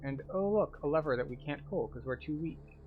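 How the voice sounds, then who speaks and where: A man's voice: fairly high pitch, expressive, fairly quick, narrow, tinny audio, a little hiss or hum. A man giving casual, explanatory commentary as a tour guide through a video game.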